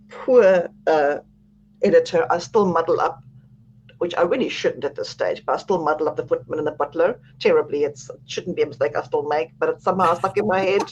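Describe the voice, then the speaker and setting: A woman with a South African accent talks through a radio interview as an author guest. She is chatty and laughs at herself often, with a somewhat low voice. The sound is muffled and lo-fi.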